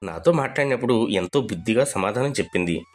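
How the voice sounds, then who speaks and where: A man's voice: fairly low pitch, expressive, fast, soft background music. A man voicing a mild, kind, dutiful young son and husband in a moral story, speaking earnestly. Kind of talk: Telugu moral story character voice.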